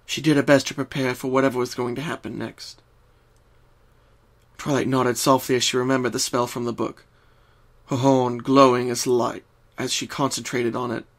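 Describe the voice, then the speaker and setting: A man narrates a story as a dramatic reading. His voice sits at a fairly high-middle pitch between the lines of dialogue, carrying the scene as storyteller.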